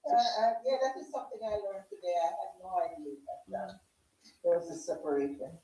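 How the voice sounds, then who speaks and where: A woman in the audience asks a curious question, hesitating as she goes. She is away from the mic, so her voice sounds mellow and distant with room echo. Faint noise sits behind her. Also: fairly low pitch, animated, fairly quick. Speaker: audience member.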